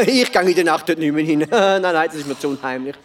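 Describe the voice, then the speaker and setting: Someone playing an excitable Swiss German peasant boy. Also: medium pitch, fairly quick, recorded from a distance, faint music behind, close to an everyday voice. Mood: nervous protest. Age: child figure. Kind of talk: children's radio play acting.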